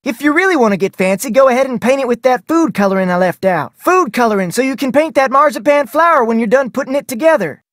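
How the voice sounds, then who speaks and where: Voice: high-pitched, animated, fast talker, dry recording, clean studio sound. An actor voicing a folksy ranch cook in a mystery video game, with colloquial Western talk. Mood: chipper, helpful. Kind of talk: video game character voice lines.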